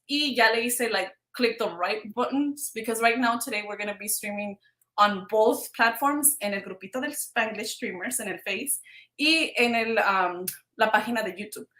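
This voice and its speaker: Bright-voiced Mexican woman in a roomy space. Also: a little high, measured pace. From Veracruz, Mexico. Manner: informal, switches between Spanish and English. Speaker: livestream host. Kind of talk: casual live chat with viewers. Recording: roomy, echoing livestream sound.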